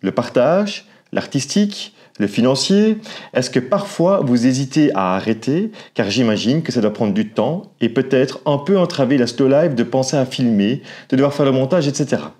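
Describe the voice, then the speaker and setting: A man's voice: mid-range voice, lively intonation, moderate pace, a little mellow. A man in his forties from Belgium with a Belgian French accent, co-hosting a casual Q&A vlog and chatting with frequent hesitations, recorded on a lapel mic on a porch. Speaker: vlog co-host. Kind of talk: casual Q&A chat. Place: covered porch beside a house. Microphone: clip-on lapel mic.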